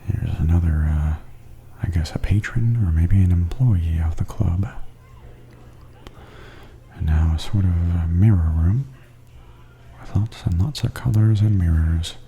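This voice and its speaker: A man giving softly spoken ASMR tour commentary in a breathy half-whisper, calmly and gently describing things as a host. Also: low-pitched. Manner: calm, unhurried, gently descriptive.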